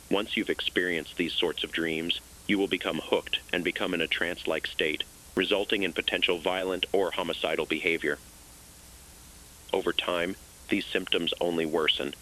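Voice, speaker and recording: A man playing an official emergency broadcast announcer, reading a scripted alert in a formal, measured, grave manner for an analog horror drama. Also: sits low, sharp tone, rough recording.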